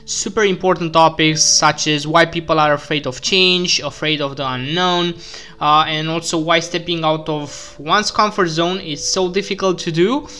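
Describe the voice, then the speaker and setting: A man's voice: on the high side, fairly even intonation, normal speed, sharp tone, smooth. A man hosting a podcast, upbeat with lots of fillers. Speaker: podcast host. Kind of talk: podcast intro and interview.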